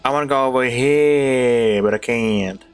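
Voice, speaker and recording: A man's voice: medium pitch, measured pace, faint music behind. A man giving casual, informal gameplay commentary as a streamer, using slangy speech. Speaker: video game streamer.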